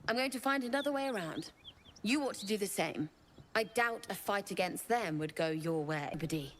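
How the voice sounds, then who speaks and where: A woman's voice: mid-range voice, a little hiss or hum, lightly acted. An actress playing a wary, cynical female loner in a fantasy video game, with a brusque, guarded manner. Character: surly, wary lone survivor woman in a fantasy game. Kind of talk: video game dialogue acting.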